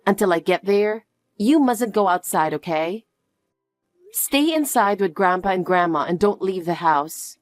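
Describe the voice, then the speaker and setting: A woman playing a calm, protective mother who speaks softly and reassuringly to her daughter. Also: medium pitch, dry recording, studio quality, faint music behind, close to an everyday voice. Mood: soothing. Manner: soft, slow, reassuring. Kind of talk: dramatic dialogue.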